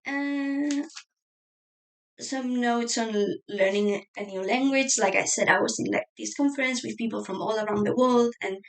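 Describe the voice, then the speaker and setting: A woman whose first language is Spanish chats casually while flipping through her journals at home. Her voice sits a little high, with some gravel and vocal fry. She rambles and often restarts her sentences, and her phrases tend to trail off softly. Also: moderate pace, low-quality recording. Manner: chatty, rambling, many fillers and self-corrections; laughs lightly, trails off softly. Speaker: journaling vlogger. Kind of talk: casual flip-through chat.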